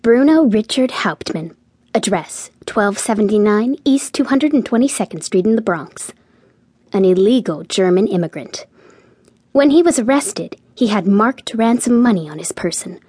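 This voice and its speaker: Dreamy teenage girl, breathy and husky. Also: mid-range voice, lively intonation, moderate pace, a little mellow, no room sound. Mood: dreamy, confiding. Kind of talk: audio drama monologue and dialogue.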